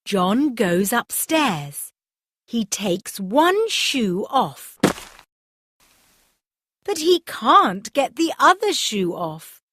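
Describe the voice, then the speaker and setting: A woman narrating a scripted children's story, in simple, deliberate phrases for young learners. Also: a little high, sing-song, a bit bright, slightly rough.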